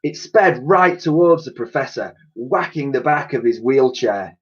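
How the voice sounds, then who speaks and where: A man reading a children's storybook aloud in an expressive, dramatic way, as a teacher would to pupils. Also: medium pitch, measured pace, husky. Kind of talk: animated children's storybook narration. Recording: dull, lo-fi recording.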